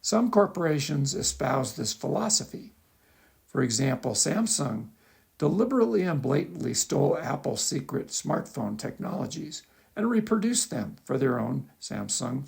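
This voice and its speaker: A man with a gravelly, creaky voice reads his own article as a measured, explanatory lecture with occasional asides. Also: fairly high pitch, a little mellow. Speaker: author reading own article. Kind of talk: scripted lecture-style narration with asides.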